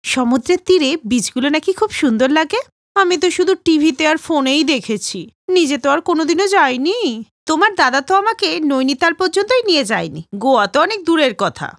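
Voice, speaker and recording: A woman acts out a possessive, motherly sister-in-law from a cartoon story. She talks in a chatty, insistent way with a high, lively voice. The sound is dry. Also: normal speed, no echo. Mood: wistful.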